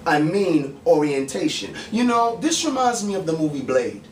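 A man, performing dramatic spoken word poetry on stage in a theatrical, pointed manner with deliberate pauses. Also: fairly high pitch, very animated, a crowd in the background. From the Bronx, New York, USA. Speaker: spoken word poet and actor. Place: small dim bar stage, indoors. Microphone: far camera mic, stage mic unused beside him. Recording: roomy, distant camera mic with background noise.

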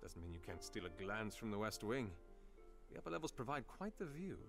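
A man's voice: fairly low pitch, big pitch swings, brisk, vocal fry, over music. A man playing an easygoing, wry and confident inspector in a video game. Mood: relaxed, teasing.

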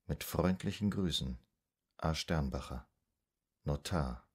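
An actor playing a polite, reflective psychoanalyst of 1920s Vienna in a radio drama, formal and restrained. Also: male voice, extremely low pitch, expressive, slow, a little mellow, breathy edge, heavily acted. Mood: sober, reading.

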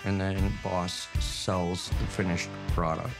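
A low-voiced older American executive explaining the business in a promotional film, guitar clearly behind him. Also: male voice, level tone, takes it slowly. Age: 50s or older. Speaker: company executive, former banker. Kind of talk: measured corporate interview. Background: guitar music.

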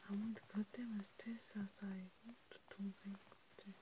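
A woman chatting casually in a breathy whisper for ASMR, murmuring haltingly with pauses. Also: medium pitch, little pitch movement. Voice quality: breathy, mostly unvoiced whisper. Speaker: ASMR creator. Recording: noticeable background noise and ambience.

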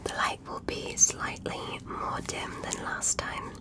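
A woman in an ASMR medical roleplay, speaking in a breathy whisper as a careful examiner who gently gives step-by-step instructions and reassurance. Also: takes it slowly.

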